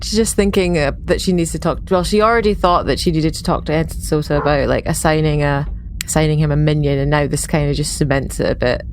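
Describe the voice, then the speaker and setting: A woman chatting casually as a player in a roleplaying game, describing her character in an informal, halting way. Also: low-pitched, darkish tone, a little hiss or hum, poor audio.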